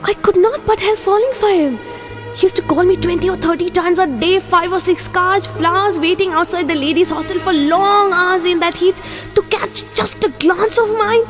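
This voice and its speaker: A woman's voice: extremely high pitch, moderate pace. An actress playing a young woman from India with an Indian English accent, earnest and reflective in an audio drama. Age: young adult. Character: young woman Priya, hurt but composed. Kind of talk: amateur audio drama acting. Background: music.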